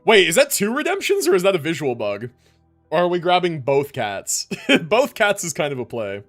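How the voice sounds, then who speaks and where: Man, livestream host, slightly high voice, lively intonation, informal chat with viewers, repeats phrases, faint music behind. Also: moderate pace. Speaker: live streamer host.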